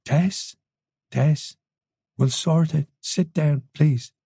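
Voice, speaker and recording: A narrator voicing a calm, protective male senior detective with an Irish accent, reasonable and soothing, in an audiobook. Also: medium pitch, extremely slow, gravelly, breathy edge, dry recording, clean studio sound, pitched above the performer's own voice, heavily acted. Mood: soothing, firm. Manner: steady, soothing, easy and unhesitating.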